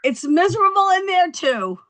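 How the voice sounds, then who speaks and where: Actress doing a wronged housewife, really high sharp voice, sarcastic and emphatic, tv script read. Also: female voice, very animated, moderate pace, exaggerated voice. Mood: bitter, flat. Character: hurt, sardonic New York housewife, stubborn and tipsy. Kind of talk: table read of a TV comedy-drama script.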